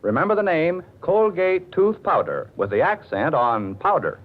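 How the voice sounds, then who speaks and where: Lively American radio announcer man, higher-pitched. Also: normal speed, a little hiss or hum. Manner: polished, emphatic salesman delivery. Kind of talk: 1940s radio commercial announcing. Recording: tinny 1940s radio, faint music.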